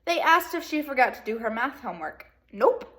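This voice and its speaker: A woman teacher reading a children's picture book aloud in an expressive way, with casual asides to young pupils. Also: sits high, fairly quick. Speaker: second grade teacher.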